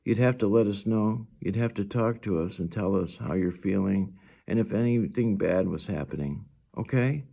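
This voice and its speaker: A man voicing a gentle, playful father who reassures and coaxes his young son in a storybook. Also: on the low side, moderate pace, vocal fry. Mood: reassuring.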